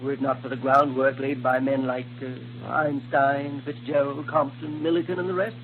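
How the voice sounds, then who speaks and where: An actor playing a kindly, learned scientist inventor in a 1930s radio serial, explaining things in precise technical language. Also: male voice, mid-range voice, little pitch movement, normal speed, lightly acted. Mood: earnest lecturing. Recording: muffled, noisy 1930s radio recording.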